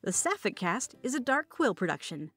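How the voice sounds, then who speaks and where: A woman narrating scripted audio fiction and podcast credits with an expressive, wry storytelling manner. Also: a little high, moderate pace. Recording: dry recording with music behind. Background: music.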